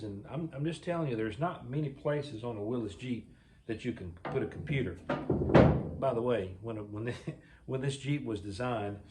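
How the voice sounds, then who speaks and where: A man, casually talking through his own jeep restoration in a workshop vlog, folksy and rambling, a little raspy this morning. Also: mid-range voice, noisy background. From Mississippi. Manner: folksy, rambling, thinking aloud.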